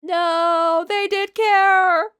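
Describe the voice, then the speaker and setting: A woman's voice: very high voice, fairly even intonation, extremely slow, fairly bright tone. A woman streaming a game, reading story text expressively and adding casual, joking reactions.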